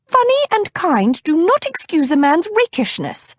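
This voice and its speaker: A woman reading an audiobook, voicing a fussy, fretting older woman chaperone in a high, fluttery, huffing voice. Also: wildly swooping pitch, measured pace, no room sound, studio quality, heavily acted. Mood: fretful. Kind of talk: audiobook character voice.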